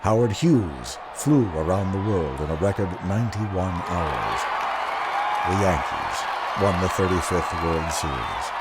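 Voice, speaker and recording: A man hosting a radio drama series, giving measured, scripted narration in a theatrical, portentous manner. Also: deep voice, heavy background noise. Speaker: host, producer and star of a radio drama series. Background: faint music.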